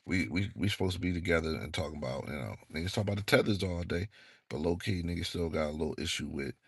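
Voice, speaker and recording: A young man with a very low, gravelly voice talks in a loose, rambling way, circling back to his points with plenty of slang and fillers. It sounds dry and close. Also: expressive, fast talker, no echo. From northeastern United States. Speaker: channel host. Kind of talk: casual monologue vlog.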